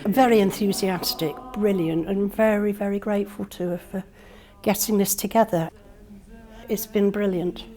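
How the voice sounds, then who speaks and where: Chatty older woman, mellow voice. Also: medium pitch, fairly even intonation, normal speed. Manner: chatty, cheerful, down-to-earth. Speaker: singing group participant. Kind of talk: casual interview testimony.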